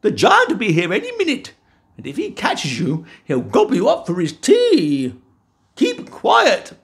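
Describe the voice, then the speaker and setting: A man voicing a flustered, kindly giant's wife, in a high fluttery put-on voice. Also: wildly swooping pitch, measured pace, gravelly, heavily acted. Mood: fussing. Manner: fussy, dithering, talks to herself.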